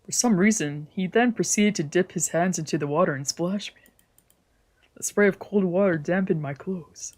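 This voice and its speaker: A streamer voicing a brooding, wry, guarded young man in a soft, measured visual novel read-aloud. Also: mid-range voice, close to an everyday voice.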